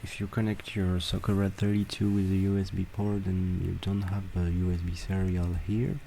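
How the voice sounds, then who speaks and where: A man talking through a software tutorial step by step, with halting pauses between instructions. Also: low-pitched, level tone, vocal fry, slight background noise. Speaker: tutorial presenter. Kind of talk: screen-recorded software tutorial.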